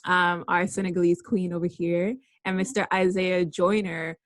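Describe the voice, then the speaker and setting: A young woman hosting a casual interview, upbeat and quick to laugh. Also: on the low side, level tone, no echo, clean studio sound. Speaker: podcast host.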